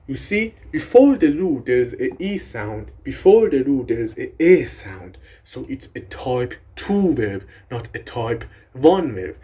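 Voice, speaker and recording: A man's voice: mid-range voice, sing-song, takes it slowly, few pauses, mellow, breathy edge. A young man whose first language is Persian, casually explaining language grammar in a rambling, swearing, self-deprecating way. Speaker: language video creator.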